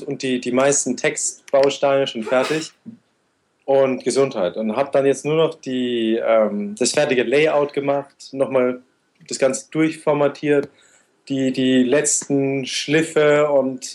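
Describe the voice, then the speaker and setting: A man in his twenties, an interview guest recounting his travels in a steady, detailed way. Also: mid-range voice, slow pace. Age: 20s. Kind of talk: conversational interview answers.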